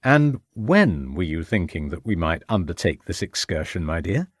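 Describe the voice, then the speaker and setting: A man voicing a composed Victorian gentleman detective who questions in a measured, inquisitive way. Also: sits low, big pitch swings, dry recording, clean studio sound.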